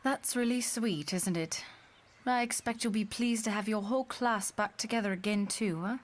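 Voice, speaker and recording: A woman's voice: mid-range voice, moderate pace, lightly acted. A woman voicing a villainous mutant who has possessed a young woman, casual and taunting, in a motion comic. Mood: sweetly probing. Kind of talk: dramatic motion comic voice acting.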